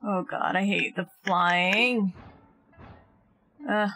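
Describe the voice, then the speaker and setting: Husky-voiced woman streaming a game. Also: mid-range voice, steady tone, extremely slow, bright, forceful tone, faint music behind. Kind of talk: casual live stream commentary. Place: live game stream with game music behind.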